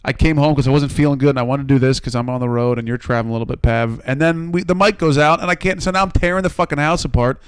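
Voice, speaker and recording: An American man with a slightly hoarse voice, co-hosting a podcast with casual, profane, self-deprecating storytelling that rambles. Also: medium pitch, racing, few pauses, no room sound. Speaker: podcast co-host. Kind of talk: casual comedic storytelling among friends.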